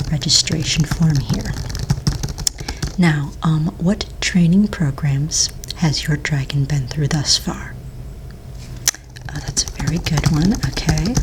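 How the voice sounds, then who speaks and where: A woman playing a polite, patient office manager in a soft-spoken ASMR roleplay, with a breathy near-whisper and gentle, unhurried delivery. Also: low-pitched. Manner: gentle, unhurried, hesitant pauses while checking paperwork. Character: polite, patient female office manager at fantasy pet registry. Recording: faint-to-clear background noise, keyboard typing. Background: computer keyboard typing.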